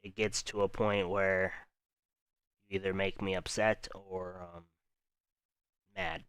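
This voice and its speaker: Calm-voiced man hosting a weather stream. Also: low voice, slow pace, clean tone, no room sound. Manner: calm, rambling, talks to chat viewers. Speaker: livestream weather host. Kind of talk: unscripted live weather stream.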